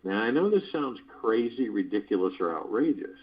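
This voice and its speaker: A man hosting a guided meditation on a conference call, speaking in measured, deliberate phrases with pauses, like a spiritual motivational talk. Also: on the low side, sing-song, breathy edge. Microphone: phone conference call. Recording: dull lo-fi conference call audio.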